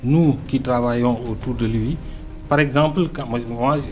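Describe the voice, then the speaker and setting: A Senegalese man speaks French as his second language, answering questions in a radio studio. His voice sits at an ordinary middle pitch and he keeps stopping to search for words. Faint music runs softly underneath. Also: normal speed. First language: Arabic-educated, not a French native speaker. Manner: hesitant, many fillers and restarts, earnest. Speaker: political party delegate, radio guest. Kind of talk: radio political interview.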